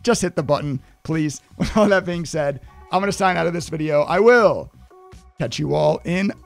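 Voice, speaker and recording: A fairly high-voiced man in his thirties, hoarse and fading as he walks viewers through a trading tool. He talks with emphatic energy and keeps asking "right?". Faint music plays behind him. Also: expressive, normal speed. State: losing his voice. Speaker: trading educator. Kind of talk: screen-share tutorial.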